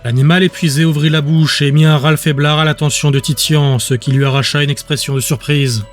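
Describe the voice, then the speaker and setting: A man narrating scripted fiction as an audiobook, with a measured, descriptive storytelling manner. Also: fairly high pitch, fairly even intonation. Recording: music under the voice.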